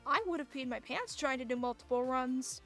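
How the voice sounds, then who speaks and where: Woman acting a high, bright, chatty gamer friend. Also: brisk, faint music behind. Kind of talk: audio drama acting.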